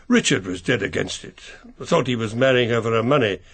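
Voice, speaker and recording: An actor playing a proper, reserved older English family solicitor, precise and a little hesitant, in a radio mystery drama. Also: male voice, mid-range voice, expressive, fairly quick, creaky, fairly bright tone, breathy edge, full character voice. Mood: measured recollection.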